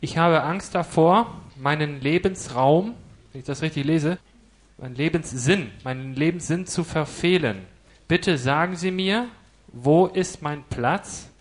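A man interviewing a spiritual teacher, reading out his questions in a careful, measured way. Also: fairly high pitch.

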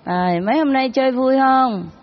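A woman spiritual teacher chatting gently with children, laughing often and switching between languages. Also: high voice, moderate pace, lo-fi sound. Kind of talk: casual chat with children at a gathering.

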